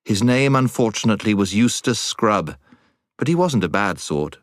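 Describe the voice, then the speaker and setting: A British man narrating a storybook as a scripted audiobook, in an expressive storytelling manner. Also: fairly low pitch, normal speed, no room sound, studio quality.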